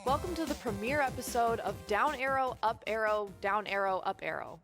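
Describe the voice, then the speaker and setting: Woman playing a high-voiced, sardonic wrestler co-host. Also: music in the background, natural voice. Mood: dry presenting. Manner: dry, teasing, unhurried. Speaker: wrestler co-hosting a show. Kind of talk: scripted video game cutscene acting.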